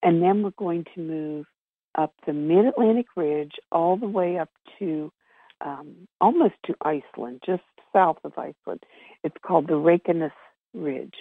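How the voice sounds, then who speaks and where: A woman with a gravelly, husky voice giving an informal region-by-region report as a presenter on a conference call, over a narrow phone line. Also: fairly low pitch, unhurried, no echo. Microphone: telephone.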